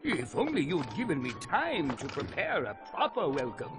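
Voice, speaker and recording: An actor playing an effusive, falsely humble Sultan in a video game, speaking with flowery courtesy. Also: male voice, fairly high pitch, huge pitch swings, normal speed, music in the background.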